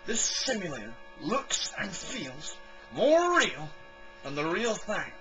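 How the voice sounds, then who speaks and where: A man doing a showy army captain lectures like a zealous salesman. The voice is high and bright, swinging widely in pitch, with slow theatrical emphasis in a homemade audio drama. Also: wildly swooping pitch, soft background music, exaggerated voice, poor audio. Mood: grandly enthusiastic. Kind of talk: amateur audio drama acting.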